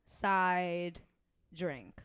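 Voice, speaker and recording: A woman's voice: fairly low pitch, extremely slow, fairly bright tone, clean tone, no room sound, studio quality. A woman in a casual podcast chat, talking animatedly, swearing freely and laughing often.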